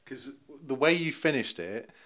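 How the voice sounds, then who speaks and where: A man's voice: mid-range voice, expressive, normal speed, fairly bright tone. A man working as the club TV interviewer, asking friendly, conversational questions after a match.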